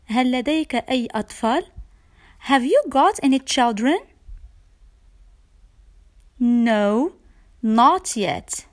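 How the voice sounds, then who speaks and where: A woman with a slightly high voice leads a beginner English lesson. She says each phrase slowly and clearly, often twice, then explains it briskly. The sound is dry and close, with no room around it. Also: no room sound. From Morocco. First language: Arabic. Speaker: English teacher. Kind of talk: slow, repeated beginner language lesson.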